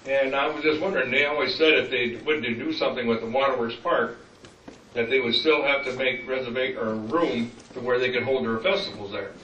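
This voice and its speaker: A man's voice: mid-range voice, fairly even intonation, fairly quick, bright, forceful tone, highs cut off, a little hiss or hum. A man from the audience asking a question at a town meeting. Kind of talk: public comment at a town meeting. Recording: roomy hall, distant mic.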